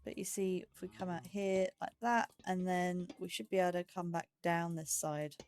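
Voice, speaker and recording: A woman hosting a slow game series, chatting to herself as she builds, with phrasing and startled yelps when danger appears. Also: fairly low pitch, fairly even intonation, darkish tone. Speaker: let's play host. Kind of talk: casual gameplay commentary.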